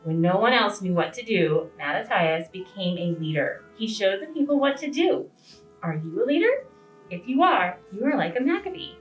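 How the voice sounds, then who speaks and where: Animated woman reading to children, roomy and distant. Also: mid-range voice, normal speed. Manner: teacherly, asks simple questions. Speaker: preschool educator reading to children. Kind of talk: interactive read-aloud to young children. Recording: distant, roomy, slightly dull, faint music.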